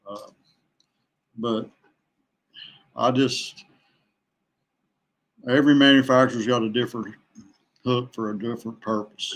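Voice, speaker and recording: A man from West Virginia chatting casually as a podcast guest, plain-spoken and halting, in no hurry. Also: medium pitch. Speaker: podcast guest, veteran kayak angler. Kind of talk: casual chat.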